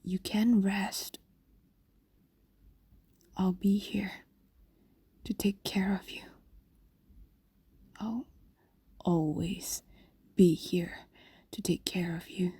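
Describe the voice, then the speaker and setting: Woman acting a whispering, very slow-spoken fox spirit. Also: medium pitch, fairly even intonation, mellow, dry recording, heavily acted. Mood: soothing, doting. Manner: breathy, soothing, slow coaxing whisper. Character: possessive, doting ancient female fox spirit. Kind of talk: intimate fantasy audio roleplay, ASMR.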